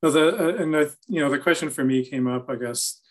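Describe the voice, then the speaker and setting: A young man moderating an artist talk over a video call, asking questions in measured, searching phrasing. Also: on the high side. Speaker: gallery talk host. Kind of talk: moderating an interview. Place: room with bookshelves.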